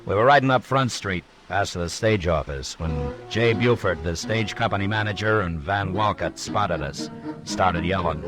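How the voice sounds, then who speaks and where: Casting: a fair, firm western lawman, deep American voice, unhurried radio delivery, faint music behind. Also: expressive. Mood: reflective narration. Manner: laconic, unhurried, dryly wry. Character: calm, firm, fair-minded frontier marshal. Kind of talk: old-time radio western acting and narration.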